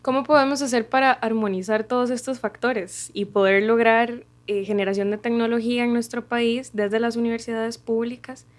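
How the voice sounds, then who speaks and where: A woman's voice: a little high, flat delivery, normal speed, hardly any pauses. A young Costa Rican woman, a student interviewer, asking long, roundabout questions in a conversational, tentative way. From Costa Rica.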